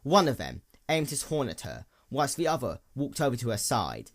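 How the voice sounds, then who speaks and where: A man reads fiction aloud as a narrator. His mid-pitched voice moves through a very lively range, and his delivery is deliberate and dramatic.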